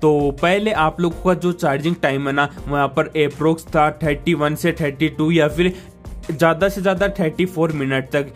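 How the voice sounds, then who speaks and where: A man giving an informal tech review to viewers, explaining things in a wordy, repetitive way with constant filler phrases. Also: fairly high pitch. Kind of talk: informal phone update review addressed to viewers. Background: background music.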